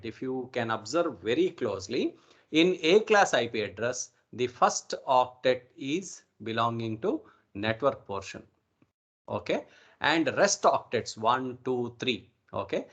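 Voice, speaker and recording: A man with an Indian accent teaches informally over an online call. His voice sits at a medium pitch, and he explains in an instructive way, often checking in with a quick okay or right. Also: a bit bright. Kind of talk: informal online networking lecture. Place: online video call recording.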